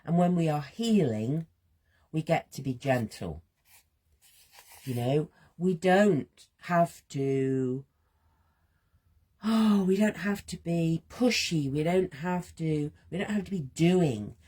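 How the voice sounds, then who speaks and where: A woman with a gentle, husky, slightly strained voice, musing aloud slowly with pauses as she hosts a reflective creative session while drawing. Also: low voice, expressive. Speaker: host of a creative healing art session.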